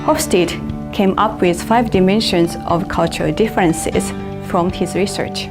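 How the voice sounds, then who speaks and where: A woman from Japan in her thirties, an English teacher, narrating a class presentation in a measured, explanatory way. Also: on the low side, over music.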